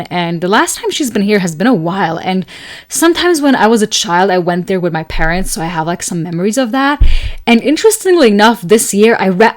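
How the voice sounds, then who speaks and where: Chatty young woman, slightly raspy voice. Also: mid-range voice. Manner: chatty, rambling, many fillers and laughs. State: tired voice from long talking. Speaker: astrology podcast host. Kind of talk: casual solo talk and storytelling.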